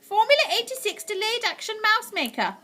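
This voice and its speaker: A woman voicing a warm, brave elderly grandmother in a storybook, high and tender. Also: sing-song, brisk, full character voice. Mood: overjoyed.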